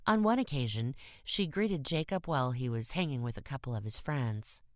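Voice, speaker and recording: A woman's voice: extremely low pitch, animated, moderate pace, no echo, clean studio sound. A woman reading a short story as an audiobook narrator in a scripted style.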